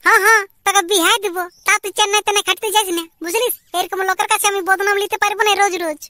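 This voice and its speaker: A scolding cartoon village mother with an extremely high, piercing voice. She nags quickly and sarcastically in a Purulia Bengali accent. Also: steady tone, sharp tone, no room sound, studio quality. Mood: exasperated. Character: scolding, exasperated village mother of grown sons. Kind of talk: amateur cartoon comedy dialogue.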